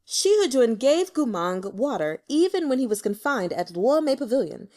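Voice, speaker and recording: Lady doing a snide spiteful lord in a fantasy audiobook, drawly, mocking, pitch swooping around. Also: sing-song, moderate pace, a little mellow. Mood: sly derision. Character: sly, sneering aristocratic lord, male, spiteful troll.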